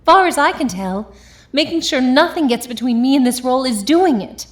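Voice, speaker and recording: An actress playing an ambitious, hard-boiled young woman of the 1950s in a vintage audio drama, speaking deliberately and defiantly. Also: sits high, lively intonation. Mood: impassioned.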